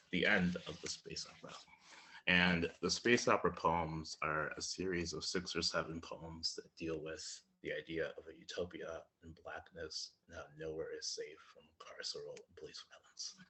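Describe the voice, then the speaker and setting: A man's voice: a bass voice, fairly even intonation, unhurried, sharp tone. A man presenting and reading his own poems at an online literary event. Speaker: featured poet reading own work. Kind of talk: live poetry reading with spoken introductions. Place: indoor room in front of a slate wall, online reading.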